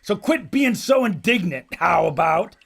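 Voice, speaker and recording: A man playing a raspy wisecracking adventurer. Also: high voice, expressive, unhurried, exaggerated voice. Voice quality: raspy and gravelly, slangy tough-guy talk.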